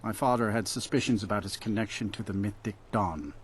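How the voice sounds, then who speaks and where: A man playing a gruff, wary townsman in a fantasy video game, speaking in a curt, guarded way. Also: lowish voice, fairly quick, a little hiss or hum, lightly acted. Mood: defensive, bitter.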